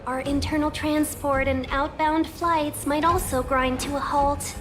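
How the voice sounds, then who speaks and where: A woman's voice: high-pitched, steady tone, a little slow, over music. A woman voicing a genteel, playfully cautious young fox-woman merchant guide in a video game, speaking in a polished, teasing way. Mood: wistful.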